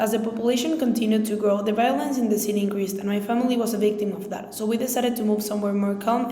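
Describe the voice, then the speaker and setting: A young woman from Mexico, a Spanish speaker, reading a school presentation in careful, formal English with small restarts. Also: medium pitch. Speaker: student presenter. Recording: echoey room with long reverb and faint music.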